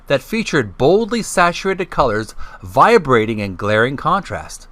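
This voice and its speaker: A man hosting a video gaming channel, casually presenting and reading aloud in a halting, hesitant way. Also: mid-range voice, very animated, fairly bright tone, dry recording. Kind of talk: casual vlog intro and reading aloud from a book.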